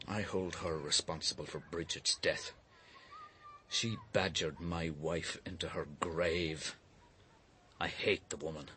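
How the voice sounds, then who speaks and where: An actor playing a proud, aggrieved painter in a radio drama, blunt and surly in his delivery, with a husky voice. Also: low voice, fairly even intonation, takes it slowly, bright, forceful tone, a little hiss or hum, exaggerated voice. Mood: bitter. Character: bitter, proud, aggrieved widowed painter, a father.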